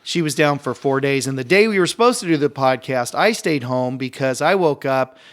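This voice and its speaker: A man's voice: medium pitch, expressive, fairly quick. A middle-aged man co-hosting a podcast, chatting wryly while stuffed up with a cold. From United States. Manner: chatty storyteller, wry. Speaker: podcast co-host. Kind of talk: casual chat.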